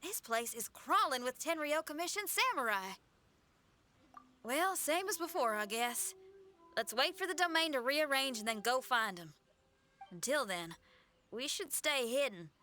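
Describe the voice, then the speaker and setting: A woman's voice: high voice, animated, a little slow, pushed and bright, full character voice. An actress playing a friendly, loyal young woman in a video game, with a folksy, casual manner. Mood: practical. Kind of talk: English video game voice acting.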